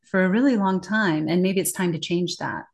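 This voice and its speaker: A woman talking in a conversational interview as a communication trainer, animated and emphatic, laughing often. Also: on the low side, normal speed, creaky.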